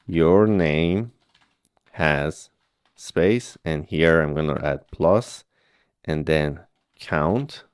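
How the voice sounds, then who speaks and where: A man guides beginners through coding tasks one step at a time. His voice sits very low and mellow. The sound is close and dry, with pauses to ask whether the learner is following. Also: smooth, no echo. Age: 30s. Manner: patient step-by-step explaining with frequent "okay?" checks. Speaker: programming instructor. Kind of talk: screen-recorded coding tutorial.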